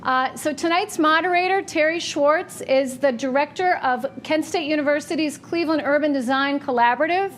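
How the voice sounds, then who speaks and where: A woman welcoming the audience and reading announcements at a public library forum, with a loud voice and careful, deliberate delivery of dates and times. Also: high-pitched, a little hiss or hum. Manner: says she has a loud voice. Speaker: library communications manager introducing a forum. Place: public library meeting room.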